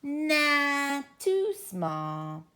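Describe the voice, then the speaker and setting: A woman's voice: high voice, sing-song, very slow, sharp tone, pitched above the performer's own voice, full character voice. A woman voicing a brash female doctor who is eager to give needles, speaking in a blunt, emphatic way.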